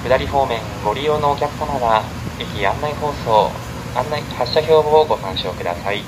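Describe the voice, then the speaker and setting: A man working as a train conductor, making formal, polite onboard announcements in measured phrases over the carriage speakers. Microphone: camera picking up overhead PA speaker. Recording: train PA with loud rumbling vehicle noise.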